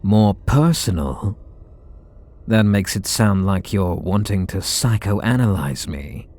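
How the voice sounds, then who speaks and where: A man's voice: fairly low pitch, lively intonation, takes it slowly, darkish tone. A man voicing a bitter, sarcastic supervillain in an ASMR roleplay audio drama, talking in a sardonic, mocking way. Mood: sneering. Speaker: voice actor.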